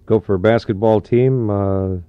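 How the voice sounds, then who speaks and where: A man's voice: on the low side, steady tone, a little slow, smooth, dry recording. An American man, a radio host, casually asking questions and chatting about sports. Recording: dull, dry 1960s AM radio aircheck.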